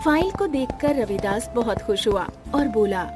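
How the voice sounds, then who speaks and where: A woman narrating a cartoon story in an animated storybook style and calling out loudly in the character lines. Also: a little high, normal speed, music in the background. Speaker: narrator and voice actor.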